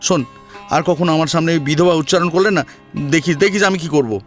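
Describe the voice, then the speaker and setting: A man's voice: fairly high pitch, a little fast, faint music behind, pitched above the performer's own voice, lightly acted. A man playing an eager, teasing, hot-headed young grandson in an audio story, insistent and emotional. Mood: insistent, annoyed. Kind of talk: Bengali audio story acting.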